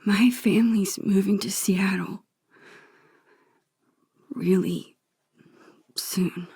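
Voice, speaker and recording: A woman playing a soft-spoken, introspective teenage girl in a video game, wistful and hesitant, with a whispery voice. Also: medium pitch, fairly even intonation, drawn-out words, creaky, husky, dry recording, clean studio sound, exaggerated voice. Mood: sad, wistful. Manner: hesitant, gentle, musing to herself. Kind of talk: naturalistic video game dialogue and inner monologue.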